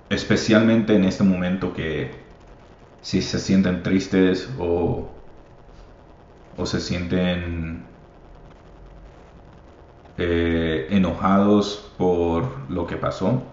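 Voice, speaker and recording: Podcast host, man, deep and unhurried, mixes in English, encouraging, echoey dull recording. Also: a little mellow. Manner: switches between Spanish and English, many fillers; encouraging, calls listeners papi. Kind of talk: casual podcast monologue. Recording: echoey room, slightly dull sound.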